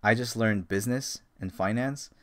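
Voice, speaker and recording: Man, thirties, lowish voice, podcast co-host, rambling, enthusiastic, lots of fillers. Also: normal speed, a bit bright. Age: 30s. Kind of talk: casual podcast chat.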